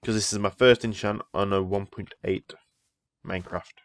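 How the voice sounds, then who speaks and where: A man's voice: lowish voice, fairly even intonation, moderate pace, no echo. A man who is ill, rambling casually through gameplay commentary and easily impressed by what he sees. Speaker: Minecraft let's player.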